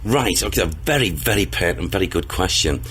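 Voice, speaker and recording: Male interview guest, booming and low-pitched, very expressive intonation, earnest and rambling. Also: a little fast, slight background noise. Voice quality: booming, deep voice. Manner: earnest, rambling, with hesitations and restarts. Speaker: interview guest, spiritual medium. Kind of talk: casual interview talk.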